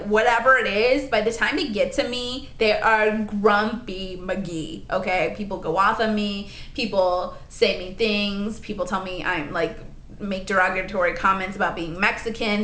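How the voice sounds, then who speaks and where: A woman in her late twenties chatting in a rambling, animated way for her vlog, full of asides and "like.". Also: medium pitch, echoey room. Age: 20s. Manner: rambling, animated, lots of "like" and "honey". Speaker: vlogger.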